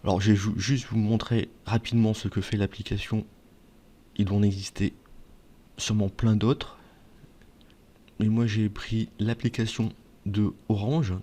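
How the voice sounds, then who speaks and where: A man with a fairly deep voice talks directly to his viewers as a presenter. He explains and advises in a conversational way, posing questions and then answering them himself. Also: warm tone. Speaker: video presenter giving advice. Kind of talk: explainer talk to viewers, semi-scripted.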